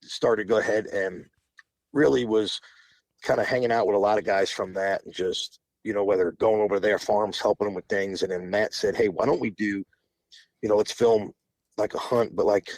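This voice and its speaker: A man's voice: on the low side, fairly even intonation, normal speed, a little mellow, dry recording. A man in his forties, a podcast guest telling long stories in a rambling, casual way with frequent restarts. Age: 40s. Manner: rambling, restarts often, laughs between phrases. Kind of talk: casual interview storytelling.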